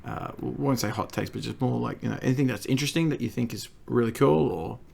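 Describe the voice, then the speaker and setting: Croaky Australian man hosting a podcast. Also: medium pitch, fast talker, a little mellow. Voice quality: gravelly. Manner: stammering restarts, laughs often. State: croaky from a recent cold. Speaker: podcast host. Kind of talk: casual podcast chat.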